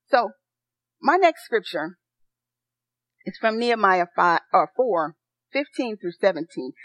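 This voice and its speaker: A soft-spoken woman pastor preaching a sermon, earnest and rising to excited encouragement. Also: fairly high pitch, no echo. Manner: earnest, building to excited exhortation. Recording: dry, dull lo-fi sound.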